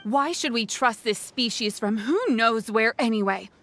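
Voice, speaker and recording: A woman's voice: on the high side, unhurried, a bit bright, faint music behind. A woman voicing a hostile, distrustful townswoman in a video game, suspicious and scornful.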